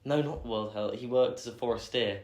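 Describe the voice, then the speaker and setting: A young man, answering interview questions in a thoughtful, measured way with many pauses and fillers. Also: medium pitch, darkish tone, a little hiss or hum. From England. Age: young adult. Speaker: guest. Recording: echoey room.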